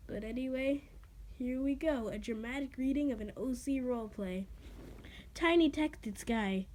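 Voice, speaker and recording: A woman's voice: sits high, measured pace, a little mellow. A woman reading her own story dramatically, stumbling over words and adding casual, self-deprecating asides. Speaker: amateur reader of own creative writing.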